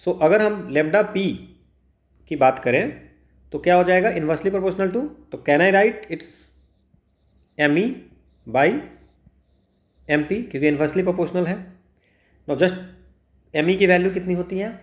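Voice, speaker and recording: Male Indian tutor for exam walkthroughs: slow delivery, switches between Hindi and English, poses and answers questions, roomy echo. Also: high-pitched. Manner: mixes Hindi and English, asks himself questions then answers. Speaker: physics tutor. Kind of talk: step-by-step exam problem walkthrough. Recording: echoey room.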